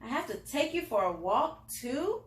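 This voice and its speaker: A grumpy storybook man who lives alone, as a woman reader puts him on. He complains and exclaims gruffly but has a soft heart, and the pitch is high and jumps around. It sounds roomy and echoey. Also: big pitch swings, unhurried, slight background noise. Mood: grudging. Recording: roomy, echoing indoor sound.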